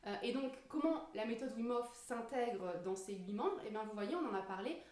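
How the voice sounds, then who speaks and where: Higher-voiced woman teaching, distant and echoey. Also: animated, moderate pace, darkish tone. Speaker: yoga teacher. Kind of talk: informal explanatory lesson. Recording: roomy echo, distant microphone.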